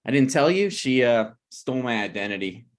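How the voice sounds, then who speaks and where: A man's voice: mid-range voice, lively intonation, brisk, natural voice. A man playing a down-on-his-luck, evasive thirty-something friend in a naturalistic comedy table read. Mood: glum, evasive. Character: unshaved, down-on-his-luck 37-year-old male friend, evasive.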